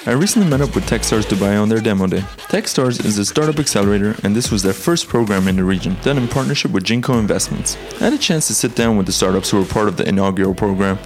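A man hosting a podcast, introducing the episode and asking friendly, brisk questions. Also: lowish voice, lively intonation, soft background music. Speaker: podcast host and interviewer. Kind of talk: podcast interview.

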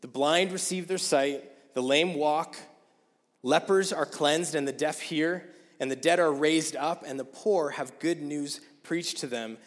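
A man in his thirties preaching a sermon in a casual, chatty way, his voice going hoarse. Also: on the high side, measured pace. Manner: casual, conversational, with many fillers. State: losing his voice. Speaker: guest preacher. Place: church sanctuary. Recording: long hall reverb.

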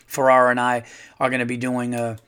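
A middle-aged man, a comedian hosting his own podcast, chatting casually and rambling with lots of fillers, his voice a little hoarse from touring. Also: mid-range voice, monotone, fairly quick, fairly bright tone. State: slightly hoarse, losing his voice. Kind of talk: casual solo podcast monologue.

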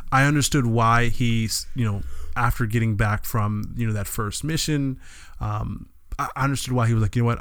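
A man's voice: mid-range voice, no echo. Man in dry, close-miked banter. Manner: joking, animated banter. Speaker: podcast co-host. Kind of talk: casual film review chat.

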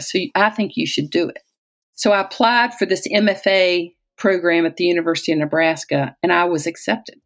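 An American woman in her sixties from Southwest Virginia, telling personal stories conversationally, as if in an interview, often quoting people she has known. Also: lowish voice. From Southwest Virginia, United States. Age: 60s. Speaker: interviewee, retired-age physician and poet.

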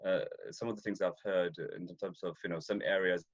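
Interview guest: very low-voiced man from Ethiopia, rambling with restarts, muffled lo-fi audio. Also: fairly even intonation, fairly quick. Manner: rambling, many restarts and fillers. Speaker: interview guest, deer stalker. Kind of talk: casual interview chat. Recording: dull lo-fi audio.

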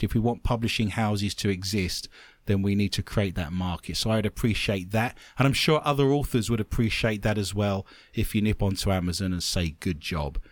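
A man from London with an English accent, hosting a radio talk show in a chatty, animated way and laughing often. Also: fairly low pitch, dry recording. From London, England.

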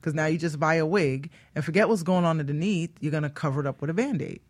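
A woman's voice: low-pitched, fast, no echo. A woman talking casually on a talk show in an emphatic, colloquial way, often checking that listeners follow. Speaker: guest hair stylist.

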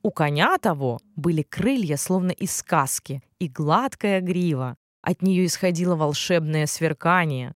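Animated woman reading a fairy tale. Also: lowish voice, no echo, studio quality. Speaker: storyteller narrating a children's fairy tale. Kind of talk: expressive scripted fairy-tale narration.

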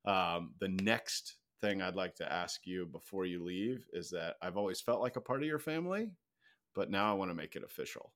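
A man's voice: low voice, lively intonation, normal speed, fairly bright tone. A man speaking as a podcast guest, casually telling personal stories in a conversational, anecdotal way.

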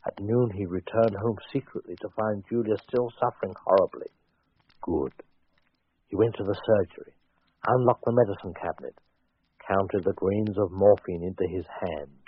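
A man narrating a 1940s radio suspense story in a wry, deliberate, dramatic way. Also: lowish voice, breathy edge. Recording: dull 1940s radio recording, faint music.

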